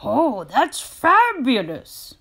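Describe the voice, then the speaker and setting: Woman voicing a big expressive friendly storybook hippo. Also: sits high, drawn-out words, gravelly, breathy edge, pitched above the performer's own voice, heavily acted. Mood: delighted. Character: friendly hippo bored of being gray.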